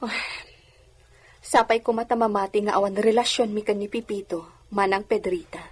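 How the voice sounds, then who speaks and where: A woman's voice: mid-range voice, fairly even intonation, normal speed, husky, lightly acted. A woman playing a genteel, guarded young lady in an audio drama, polite and reserved in manner.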